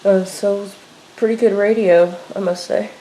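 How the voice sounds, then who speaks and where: A woman's voice: mid-range voice, steady tone, moderate pace, mellow, a little hiss or hum, rough recording. A woman giving a casual, unscripted show-and-tell in a plain, matter-of-fact way, recorded indoors at home. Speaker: video creator showing a radio collection.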